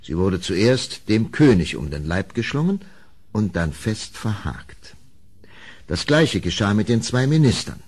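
Male narrator, deep-leaning husky voice, animated range, dramatic scripted storytelling. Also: a little slow.

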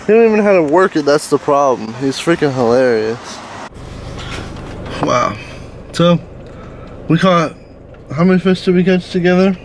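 A man's voice: a little high, expressive, moderate pace, pauses often, a little hiss or hum. A young man in his twenties vlogging casually to a handheld camera, loose and joking, swearing now and then. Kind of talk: casual vlog chat. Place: inside a car, then outdoors and at home. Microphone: handheld camera close up.

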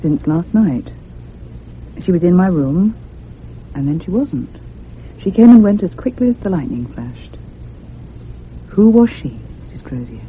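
A woman's voice: lowish voice, lively intonation, a little slow, long pauses. Earnest young woman, tinny radio thriller. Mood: intent, anxious. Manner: earnest, pressing, questioning. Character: earnest, insistent young woman probing a ghostly mystery. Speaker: actor in a radio drama. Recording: muffled phone-like radio recording with hiss.

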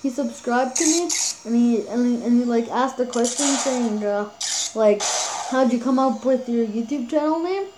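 Halting, high-voiced young gamer woman. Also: background noise. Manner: halting, repeats words, many fillers. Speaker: YouTube gamer. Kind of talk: casual mobile gameplay commentary. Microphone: phone screen recorder mic.

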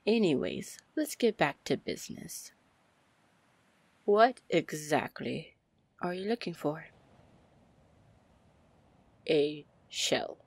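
A woman playing a confident, commanding, flirty female pirate captain in an ASMR fantasy roleplay, breathy and deliberate. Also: dry recording, exaggerated voice. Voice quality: breathy, low, husky. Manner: slow, deliberate, smooth-talking. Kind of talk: ASMR roleplay acting, fantasy audio drama.

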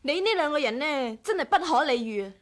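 A woman's voice: sits high, expressive, brisk. An actress playing a strong-willed, outspoken woman in a Cantonese radio drama, frank and pointed in manner. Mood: exasperated, scolding.

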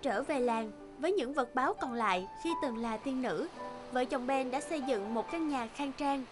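A woman's voice: high-pitched, moderate pace, music in the background. A woman narrator telling a scripted fairy tale.

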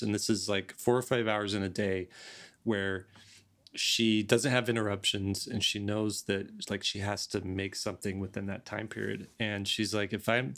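Middle aged guy, podcast host, lowish creaky voice, kinda thinks out loud. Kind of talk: casual podcast chat. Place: home studio, on a video call. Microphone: large desk microphone, close.

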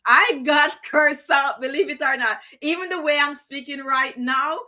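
Bright-voiced Jamaican woman vlogger. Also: high voice. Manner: chatty, digressive, laughs often. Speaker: skincare vlogger. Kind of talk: casual tutorial talk to camera. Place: indoor room with plants and screen.

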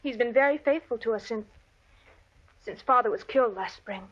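A woman's voice: fairly high pitch, normal speed, lightly acted. A woman playing an earnest, resolute young daughter of a slain preacher, with an American accent, in an old radio western. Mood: polite, sad. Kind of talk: 1940s-50s radio western acting. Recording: dull vintage radio recording.

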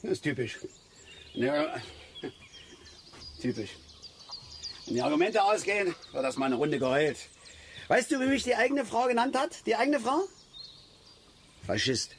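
Grumpy middle-aged angler, self-righteous, stammering, colloquial, lively swooping intonation, radio play, outdoor ambient noise. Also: male voice, high voice, unhurried, pauses often, full character voice. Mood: bitter. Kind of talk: naturalistic German radio play acting.

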